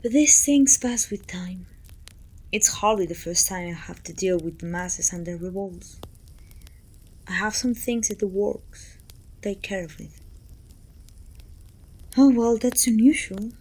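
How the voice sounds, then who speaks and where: A woman playing a pompous, self-pitying evil queen who talks down to her servant in an intimate, breathy ASMR roleplay, often dropping toward a whisper. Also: medium pitch, a little slow, pauses often, a little mellow. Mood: coolly menacing. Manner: pompous, petulant, theatrical sighing complaints.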